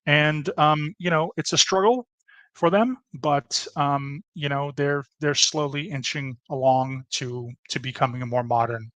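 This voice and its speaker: A man's voice: on the high side, measured pace, fairly bright tone, no echo. A man presenting a history topic on a casual podcast, with many fillers and restarts.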